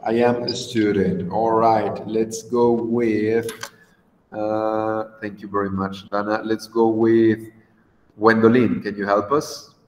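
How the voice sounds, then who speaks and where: A man's voice: on the low side, little pitch movement, takes it slowly, a little mellow, roomy sound, long reverb tail. Patient Mexican man teaching English. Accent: Mexican Spanish. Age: 30s. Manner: patient, encouraging, switches to Spanish to explain. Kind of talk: online English class. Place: home room on a video call. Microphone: video call.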